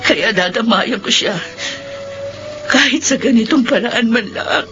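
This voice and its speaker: A woman's voice: fairly high pitch, a little slow, a bit bright. A woman playing a devoted wife who tearfully pleads and prays for her injured husband. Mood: praying. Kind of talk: Filipino radio drama acting. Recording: lo-fi radio recording with music.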